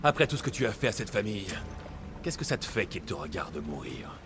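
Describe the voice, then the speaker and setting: Bold, animated mercenary hero. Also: male voice, fairly low pitch, brisk, noisy background. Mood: suspicious, demanding. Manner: forceful, confrontational. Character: bold, blunt young male Greek mercenary hero. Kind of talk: dramatic video game acting in French.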